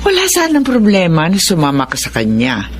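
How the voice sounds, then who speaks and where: A woman's voice: on the low side, wildly swooping pitch, fairly quick, a little hiss or hum. An actress playing an understanding, worried middle-aged mother in a Filipino radio drama. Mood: gentle concern.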